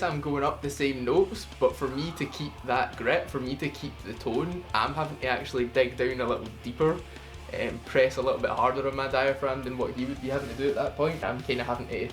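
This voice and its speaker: A man from the UK casually breaking down his vocal cover, rambling and swearing freely, with self-deprecating asides. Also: mid-range voice. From United Kingdom. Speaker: singer explaining his cover. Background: song playing underneath.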